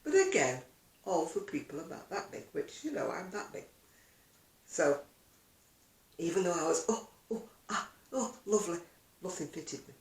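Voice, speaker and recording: A woman's voice: on the low side, very animated, moderate pace, pauses often. An older woman chatting casually to camera as a video blogger, rambling along with self-deprecating asides in an echoey room. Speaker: video blogger and craft seller.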